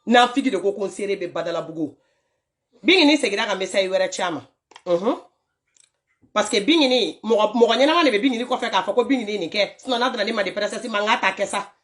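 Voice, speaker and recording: A woman commenting casually on voice notes for her followers, animated and scolding, often laughing as she talks. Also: medium pitch, brisk. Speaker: social media commentator.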